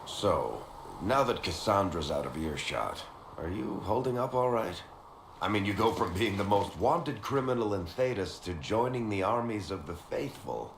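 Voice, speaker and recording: Roomy, echoing game audio with noise behind a very deep male voice. a worldly storyteller rogue, sardonic and conversational, as if swapping stories. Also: sing-song, normal speed. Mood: wry concern. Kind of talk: video game dialogue acting. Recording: roomy echo, clear background noise.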